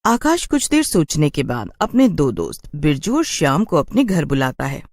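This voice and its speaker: A woman's voice: low-pitched, wildly swooping pitch, moderate pace, a little mellow, no echo, clean studio sound. A woman narrating a scripted horror story for a cartoon in storybook style.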